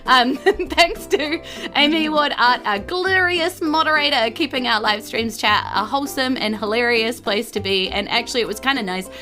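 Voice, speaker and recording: A woman with a New Zealand accent hosting and narrating a tabletop game in an informal, animated storytelling manner. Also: fairly high pitch, normal speed, soft background music. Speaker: game master and host.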